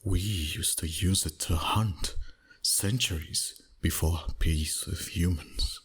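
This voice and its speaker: Man playing a deep, husky, seductive vampire. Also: full character voice. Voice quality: breathy, husky, often slipping into whisper. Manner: slow, deliberate, flirtatious. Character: seductive, dominant, teasing male vampire boss. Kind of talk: ASMR roleplay, intimate monologue to listener.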